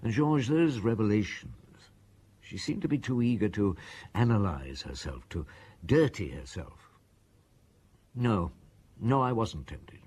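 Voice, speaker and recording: An actor playing a measured, probing police chief inspector in a radio drama, dry and reserved, asking terse questions. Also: male voice, on the low side, animated, darkish tone, slightly rough. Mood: reflective. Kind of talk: radio drama dialogue and narration.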